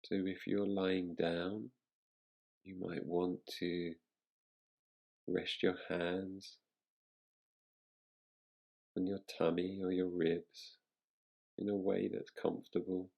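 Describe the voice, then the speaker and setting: A man leads a guided relaxation in a deep voice with a gravelly creak. He speaks very slowly and soothingly, leaving long pauses between phrases as a meditation teacher does. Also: little pitch movement, darkish tone.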